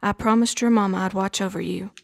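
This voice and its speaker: A man voicing a gentle, firm, protective middle-aged Southern aunt in a told story, with a breathy, hushed delivery. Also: high voice, fairly even intonation, brisk, pitched above the performer's own voice. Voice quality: breathy, hushed, often near a whisper.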